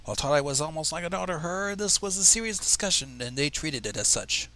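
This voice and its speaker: A man's voice: a little high, expressive, fast, bright, forceful tone. A man hosting a reading of fanfiction, breaking off for sarcastic, profane asides. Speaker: fanfiction reader and livestream host. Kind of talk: dramatic reading with mocking asides.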